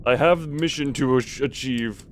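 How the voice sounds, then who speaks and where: A man's voice: medium pitch, measured pace, slight background noise. A man giving casual gaming commentary, thinking aloud in a wry way and muttering numbers and plans to himself as he streams. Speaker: game streamer.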